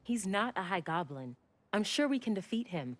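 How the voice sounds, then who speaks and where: A woman playing a confident, commanding young squad leader in a video game, crisp and decisive. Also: mid-range voice, sing-song, moderate pace, no echo. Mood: determined. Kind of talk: video game cutscene acting.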